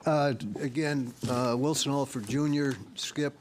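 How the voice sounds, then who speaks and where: A soft-spoken man presenting a project to a town board as the engineer, hesitant with many uhs. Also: medium pitch, fairly even intonation, measured pace, slight background noise. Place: town hall meeting room.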